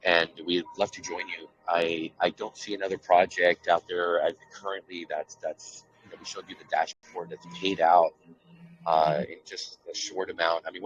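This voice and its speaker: A man with a very low voice comes through a dull, lo-fi call line with faint hiss behind him. He speaks earnestly and thankfully, stumbling over repeated words. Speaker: project team member.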